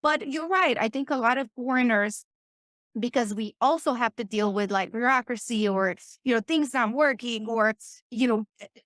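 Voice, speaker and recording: A bubbly woman from Romania, chatting as a podcast guest and getting hyped up easily. Her voice sits a little high. The sound is dry and close to the mic. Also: normal speed, no room sound. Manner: excitable, bubbly, laughs often. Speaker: podcast guest, business owner. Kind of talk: casual interview talk.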